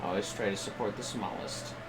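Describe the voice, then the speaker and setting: A man hosting a game livestream, chatting casually and haltingly as he muses to himself. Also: on the low side, fairly even intonation, heavy background noise. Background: music.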